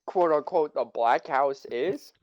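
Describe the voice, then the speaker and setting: A woman's voice: low voice, slow pace, darkish tone, no room sound, highs cut off. A woman joking around in casual comedic conversation.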